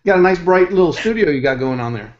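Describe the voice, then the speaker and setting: A man's voice: high-pitched, expressive, quick pace. A man hosting an interview show, joking and teasing his co-host and guest over a video call. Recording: muffled video call audio.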